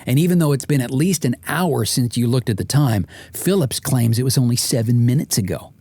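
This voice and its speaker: A man's voice: medium pitch, brisk, mellow, dry recording. Dry-recorded man narrating a wry audiobook. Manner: wry, comic storytelling with exasperated emphasis. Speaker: author and podcast host narrating.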